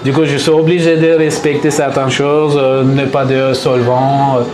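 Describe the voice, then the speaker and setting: A man from Istanbul with a Turkish accent, explaining his paper marbling craft to visitors in his small workshop, in halting French with many pauses. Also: medium pitch, little pitch movement, reverberant, a loud crowd, poor audio. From Turkey (Istanbul).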